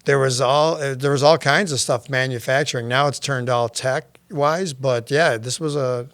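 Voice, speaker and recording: A sixty-year-old man whose first language is Spanish, talking as a guest on a podcast. He tells his story in a lively, chatty way at a middle pitch. The sound is dry and close, with no room around it. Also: moderate pace, no echo. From United States. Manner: lively, chatty, laughs often. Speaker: guest, nonprofit founder. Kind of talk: casual interview storytelling.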